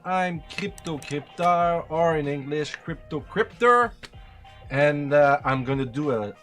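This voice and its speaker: Québécois man in a slow, halting stream. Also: a little high, a bit bright. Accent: Québécois French. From Quebec, Canada. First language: French. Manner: hesitant, many fillers and restarts. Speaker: streamer giving a tutorial. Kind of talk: casual live-stream tutorial.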